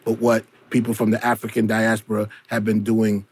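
Gravelly, low-pitched New man. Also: completely flat delivery, moderate pace, a little mellow. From South Bronx, New York, United States. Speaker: musician and storyteller. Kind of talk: reflective spoken storytelling.

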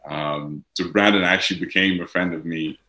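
A man's voice: low voice, fairly even intonation, normal speed, bright, forceful tone, echoey room. A man, a native Dutch speaker, talking casually as an actor being interviewed, rambling with many fillers.

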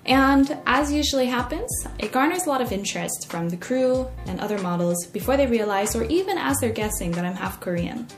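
Young american woman telling a personal story podcast style, really animated, echoey room w faint music. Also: a little high, brisk, hardly any pauses. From United States. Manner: expressive, acts out quoted remarks. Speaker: podcast host. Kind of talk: scripted personal essay narration. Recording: roomy echo, faint music.

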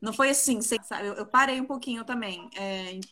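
A woman's voice: fairly high pitch, fairly quick, bright, forceful tone. A woman, chatting casually and a little giggly as an interview guest on a video call. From Brazil, partly raised in South Korea. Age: 20s. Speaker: interview guest, professional flautist.

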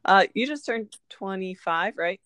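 A woman's voice: mid-range voice, lively intonation, a little slow, a bit bright, no echo, studio quality. A woman in her early twenties talking casually and openly on a podcast, informal and outspoken, with frequent swearing. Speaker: podcast co-host. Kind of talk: casual, confessional podcast chat.

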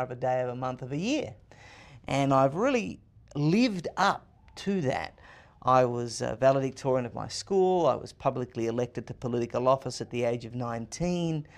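A confident man in his thirties with an Australian accent, a guest answering interview questions in emphatic, list-like phrases. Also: medium pitch. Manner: emphatic, confident, rhetorical lists. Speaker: podcast guest.

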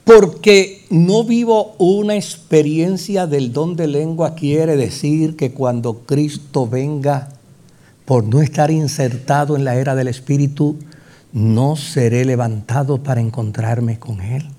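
A male preacher with a Puerto Rican accent delivers his sermon at an unhurried, weighty pace. His voice sits somewhat high, and he drives his points with repeated phrases and pointed rhetorical questions that rise to fervent peaks. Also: mellow. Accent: Puerto Rican Spanish. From Puerto Rico.

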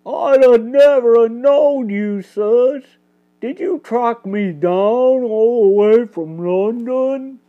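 A woman's voice: on the high side, takes it slowly, voice pushed up. Lady doing a nervous working-class mouse guy, storybook character, folksy and pleading, kinda muffled recording. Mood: frightened, cowed. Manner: pleading, folksy dialect speech. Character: frightened, humble working-class male mouse carpenter, cornered.

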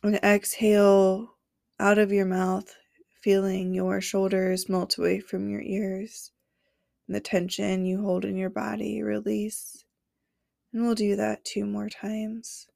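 A woman with a stuffy nose from a cold leads a guided meditation in an unhurried, soothing way. Her voice has a gravelly fry and stays on a narrow, even pitch. The recording is close and dry. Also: dry recording. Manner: slow, soothing guidance with long pauses. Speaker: podcast host and meditation guide.

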